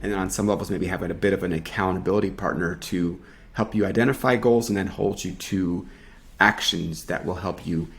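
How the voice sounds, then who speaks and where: A man's voice: low-pitched, fairly even intonation, fairly quick, vocal fry. A man co-hosting a video podcast, talking in an earnest, reflective way and reading a guest introduction. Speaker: podcast co-host.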